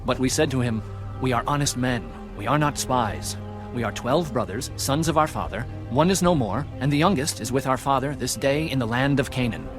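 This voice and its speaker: A man's voice: medium pitch, normal speed, lightly acted. A man playing an earnest Hebrew brother in a dramatized audio Bible, insisting plainly on his honesty. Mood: earnest, insistent. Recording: loud music bed.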